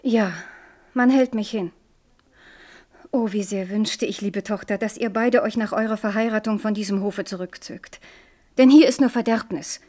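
A woman's voice: mid-range voice, normal speed, dark tone, lightly acted. A woman playing a stern devout queen mother. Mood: solemn. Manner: solemn, urgent, rising to fervent appeals. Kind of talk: 1970s radio play acting.

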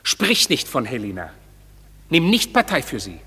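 A proud, menacing young rival in an old radio play. His voice is very raspy and tense, and it rings in a reverberant hall. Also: mid-range voice, wildly swooping pitch, a little slow, sharp tone, heavily acted. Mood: haughty. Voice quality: haughty, threatening. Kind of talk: classic radio play acting.

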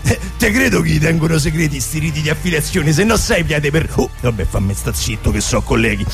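An actor playing a bumbling, bossy Roman gangster in satirical radio theatre, with a Roman accent and an exasperated, muttering manner. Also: male voice, a little high, expressive, a little fast, vocal fry, soft background music. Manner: muttering, exasperated, breaking off and restarting. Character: bumbling, bossy Roman gang boss leading a mock ritual.

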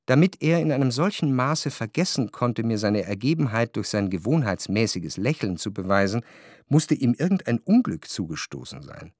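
A man's voice: medium pitch, no room sound, lightly acted. Actor as introspective male literary narrator, dry close sound. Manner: measured, articulate, reflective. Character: introspective, wryly analytical male narrator of a historical novel. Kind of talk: literary radio play narration.

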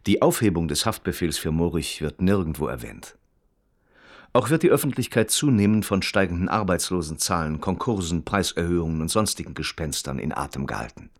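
A man's voice: low-pitched, lively intonation, brisk. A man narrating a scripted radio crime drama in a precise, clipped, literary reporting manner, as a wry observer. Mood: matter-of-fact.

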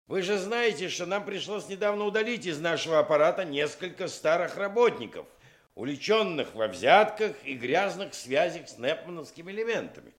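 A male actor plays an earnest Soviet official of the 1920s in a radio drama. His voice sits high for a man and moves widely in pitch. He speaks in an oratorical, declarative way. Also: lively intonation, normal speed, close to an everyday voice. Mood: grave, resolute. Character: earnest Soviet official in 1923 briefing young recruits.

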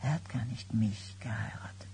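An actress playing an exhausted, foreboding expectant wife in a radio drama, brooding in a breathy, creaky near-whisper. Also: female voice, very deep voice, mellow. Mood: bitter, foreboding. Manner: slow, brooding, intense. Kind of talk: 1990s German radio drama acting.

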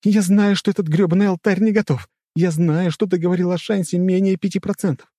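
A man voicing a fierce, foul-mouthed young woman fighter, bossy and desperate, shouting frantically in an audiobook. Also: sits high, measured pace, no echo, clean studio sound, pitched above the performer's own voice. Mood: furious grief. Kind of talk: dramatic audiobook character acting.

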